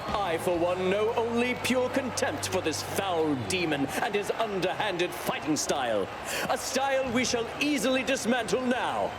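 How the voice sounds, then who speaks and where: An actor playing an arrogant, scornful heroic champion in a video game, haughty and declamatory. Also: male voice, high-pitched, lively intonation, unhurried, noisy background. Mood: haughty contempt. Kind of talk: video game character acting. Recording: music behind.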